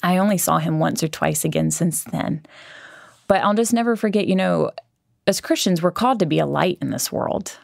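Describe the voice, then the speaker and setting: Earnest woman with a gravelly low voice. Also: expressive, normal speed, dry recording, clean studio sound. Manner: earnest, conversational, with fillers and restarts. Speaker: podcast host. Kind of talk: faith podcast monologue.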